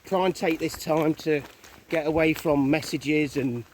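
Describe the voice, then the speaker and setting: A man's voice: fairly high pitch, fairly even intonation, measured pace. A man with an English accent co-hosting a walking vlog, talking casually outdoors. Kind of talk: casual chat. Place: outdoors on a country lane. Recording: outdoor phone recording with clear background noise.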